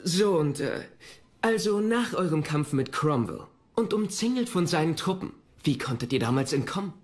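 An actor playing an eagerly curious, deferential royal diarist in a historical docudrama, questioning hesitantly. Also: male voice, on the high side, lively intonation, moderate pace, close to an everyday voice. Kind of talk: German historical docudrama acting.

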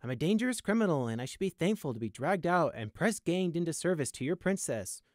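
A small, mouthy young pony prisoner acted out by a man in an audiobook tale. The voice leaps high and swoops about, flippant and needling, with a theatrical, mocking edge. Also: big pitch swings, moderate pace, darkish tone, no echo, clean studio sound. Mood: sarcastic. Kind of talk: audiobook character acting.

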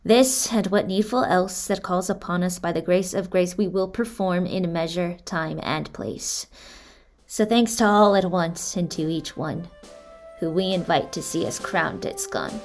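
A woman's voice: fairly low pitch, unhurried, a little mellow, soft background music. A woman playing a dignified young monarch in a Shakespearean radio drama, formal and stately in delivery. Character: dignified young new monarch addressing thanes.

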